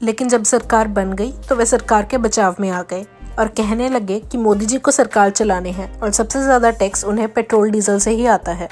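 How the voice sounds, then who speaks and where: A woman's voice: mid-range voice, normal speed, hardly any pauses, soft background music. Formal woman news voice-over. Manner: steady, formal reading. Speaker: news narrator.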